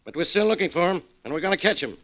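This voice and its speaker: A muffled vintage radio recording of a grouchy American police inspector. His voice sits fairly high and swings expressively, gruff and sarcastic in classic radio drama fashion. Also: very animated, very fast, fairly bright tone, full character voice. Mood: gruff, businesslike. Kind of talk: old-time American radio drama acting.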